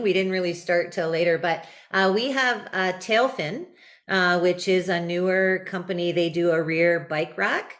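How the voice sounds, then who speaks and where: A woman guest in an interview, talking relaxed with many fillers. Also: fairly low pitch. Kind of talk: casual interview talk.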